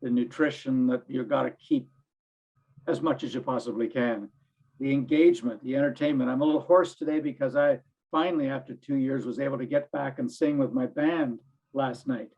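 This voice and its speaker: An older grandfather with a hoarse voice, speaking earnestly and personally as a panelist on a video call. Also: mid-range voice, fairly even intonation, a little fast, a little mellow. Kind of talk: webinar panel remarks. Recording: slightly dull lo-fi audio.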